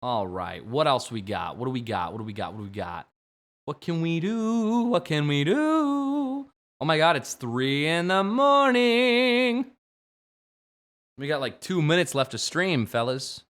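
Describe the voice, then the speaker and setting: Brief: man in his 20s, game streamer, joking late-night banter, very animated pitch, loud outbursts. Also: unhurried. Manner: playful, jokey, swings from mumbling to sudden shouts. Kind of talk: late-night livestream chat.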